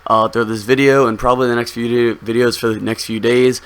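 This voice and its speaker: Young guy a bit hoarse, talking thru his game setup, lots of ums, laid back. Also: medium pitch, fast. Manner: relaxed, rambling with many ums. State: slightly hoarse. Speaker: gaming YouTuber. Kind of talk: casual gameplay commentary.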